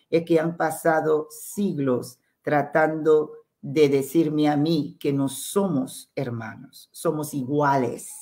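Brief: middle-aged San Andrés-accented woman, low and unhurried, impassioned guest on a home video call. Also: warm tone. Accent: San Andrés island. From Colombia. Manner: impassioned, emphatic, dropping code-switched English asides. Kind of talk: interview over video call. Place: home room.

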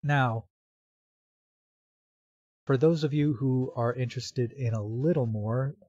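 A man walks through software setup step by step in an informal, explanatory manner. His voice is mellow and sits at a middling pitch. The recording is dry and somewhat dull, as from a close voice-over microphone. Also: slow, dry recording. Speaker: software tutorial presenter. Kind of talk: step-by-step screen tutorial narration.

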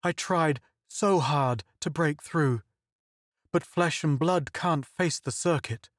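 A man's voice: on the high side, takes it slowly, no room sound, clean studio sound. A man voicing a frightened, devoted young woman who pleads through tears in an audiobook. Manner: pleading, tearful. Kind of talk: audiobook character voice.

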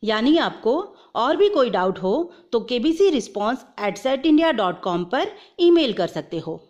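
A woman's voice: fairly high pitch, big pitch swings, unhurried, darkish tone, lo-fi sound. A woman giving an explanatory tutorial voice-over step by step, mixing English terms into her speech. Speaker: video presenter explaining instructions.

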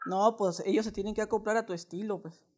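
A man's voice: sits high, steady tone, fast talker. A young man with a Mexican accent making a casual prank phone call, talking in a slangy, wheedling, mock-earnest way. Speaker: prank caller. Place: indoors, small living room.

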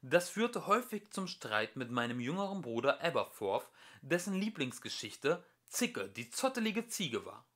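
A man reading a storybook aloud as an amateur narrator, with expressive, emphatic delivery. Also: mid-range voice, normal speed. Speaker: amateur audiobook reader.

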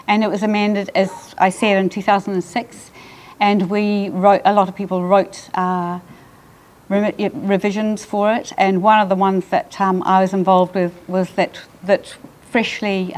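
An older woman with a New Zealand accent, giving a conference talk at a lectern with a soft, measured voice, often pausing on ums. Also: mid-range voice. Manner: soft-spoken, measured, frequent ums and restarts. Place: lecture hall with audience. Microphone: lectern microphone.

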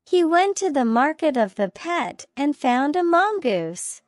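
Slow-paced woman narrating a children's fable. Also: high voice, sing-song, dry recording, studio quality. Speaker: storyteller.

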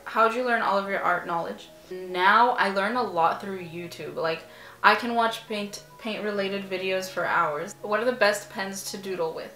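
A woman's voice: medium pitch, echoey room, rough recording. Chatty young woman, filler-heavy. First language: Arabic. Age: 20s. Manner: chatty, many "like" fillers, relaxed. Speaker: artist and vlogger. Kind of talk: casual Q&A chat while painting. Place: small home room, sitting on a couch. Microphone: camera a few feet away.

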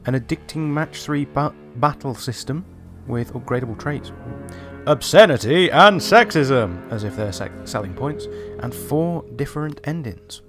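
A man's voice: medium pitch, expressive, a little slow. A man hosting a gameplay video, commenting and reading aloud in voice-over as a reviewer. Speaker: video game reviewer and host. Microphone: voice-over recorded over gameplay. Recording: music behind the voice.

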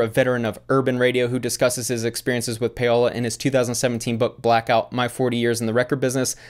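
A man in his thirties narrating a scripted video as host, recorded indoors on a desk microphone. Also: medium pitch. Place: indoor room with bookshelves. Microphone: desk microphone on arm with pop filter.

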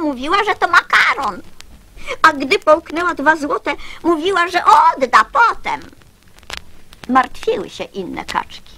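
A woman's voice: sits high, bright, forceful tone, a little hiss or hum, low-quality recording. A woman giving a theatrical recitation of children's poems. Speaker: poetry reciter.

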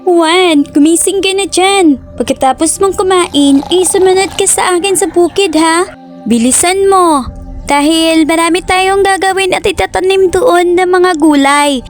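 A woman's voice: extremely high pitch, unhurried, faint music behind. A woman voicing a protective, doting mother in a cartoon story who nags and coaxes her son. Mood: urging. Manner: nagging, urging and coaxing.

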